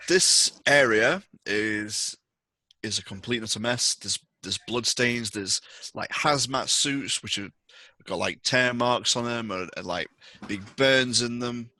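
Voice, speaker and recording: A man's voice: mid-range voice, unhurried, sharp tone, no echo. A man working as game master, improvising narration for a tabletop horror role-playing game.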